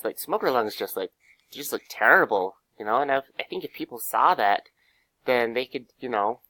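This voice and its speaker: A hoarse young man with a cold, giving a casual class presentation, full of fillers and pauses. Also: mid-range voice, sharp tone, no echo, rough recording. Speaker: student presenter.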